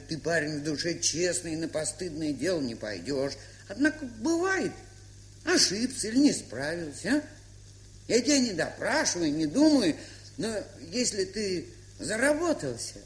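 An actress playing a gruff, stubborn but kind-hearted old aunt in a radio play, with an aged, husky, folksy, grumbling way of talking. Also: female voice, fairly low pitch, very animated, a little slow. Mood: probing. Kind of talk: Soviet radio play acting.

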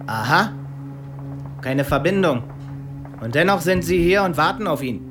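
Casting: wounded, suspicious young Nazi officer, radio play, fairly high voice, faint music. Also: male voice, expressive, measured pace. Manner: interrogating, biting sarcasm. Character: suspicious, bitter young Nazi intelligence officer Erik, wounded former friend. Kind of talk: radio drama acting.

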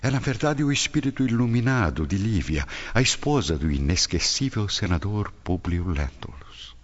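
A man's voice: fairly low pitch, sing-song, normal speed. A man with a Brazilian accent giving solemn scripted narration for a radio drama. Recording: dull lo-fi, music behind.

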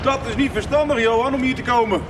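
A man's voice: extremely high pitch, quick pace, very noisy. An actor playing a secretive, irritable man in a radio soap, curt and evasive, with hesitations. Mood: wary.

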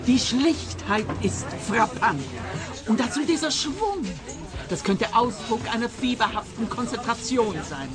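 Condescending gushy art critic lady, higher voice, radio play, music in the background. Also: animated, measured pace. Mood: admiring. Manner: pompous, condescending. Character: haughty, gushing female art expert. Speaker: art expert.

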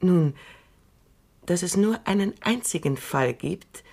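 An actress playing Doctor Calvin, a shrewd, dry and assured elderly woman robot psychologist, speaking in a measured, knowing way in a radio drama. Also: lowish voice, animated, creaky, a little mellow, full character voice. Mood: wry.

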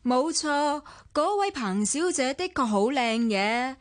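A sharp-tongued young Hong Kong Cantonese woman in a radio drama, sarcastic and lecturing. Her high voice swings up and down. The sound is close and dry. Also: lively intonation, takes it slowly, no echo. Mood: scornful. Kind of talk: 1990s radio drama acting.

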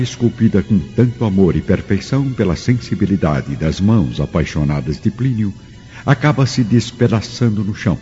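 Deep, animated Brazilian male narrator. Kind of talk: dramatic scripted radio narration. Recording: muffled radio production with music.

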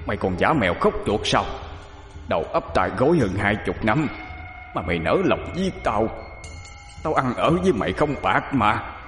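A man's voice: fairly low pitch, sing-song, a little fast, pauses often, roomy sound, big-room sound, faint music behind. A man voicing the bitter, crude ghost of a murdered husband, snarling resentfully through clenched teeth. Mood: bitter rage.

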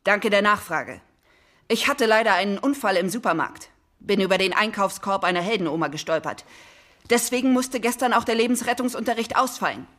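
An actress playing a brisk, strict female teacher at a superhero school in a children's radio play, instructing and commanding. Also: medium pitch, a bit bright, natural voice. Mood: matter-of-fact, instructing.

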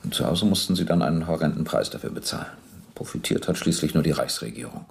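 A police captain leading an interrogation in a radio drama. His voice is very low with a gravelly creak. He is matter-of-fact and methodical, putting pointed, probing questions. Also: expressive, fast, exaggerated voice. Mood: pressing, pointed.

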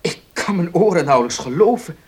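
A man's voice: high voice, animated, brisk, a little mellow, breathy edge. An actor playing a proud, distrustful male heir in a Dutch radio play, speaking formally, bluntly and emphatically. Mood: stunned.

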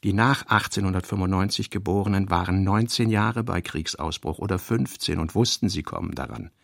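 An actor playing a brooding novelist narrator in a literary radio play, reciting narration and fragmentary notes in a measured, deliberate way. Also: male voice, deep voice, steady tone, gravelly, a bit bright, dry recording, clean studio sound. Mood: reflective.

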